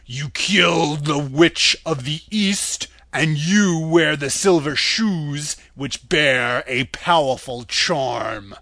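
A man voicing a stern, commanding wizard's head, speaking slowly and loudly. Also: fairly high pitch, gravelly, dry recording, heavily acted. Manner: slow, loud, commanding. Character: Oz as a great head, male, booming and stern.

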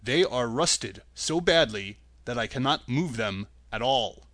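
A man doing a sad, slow tin man. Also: expressive, a bit bright, no room sound. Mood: sad polite. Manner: slow, low and mournful.

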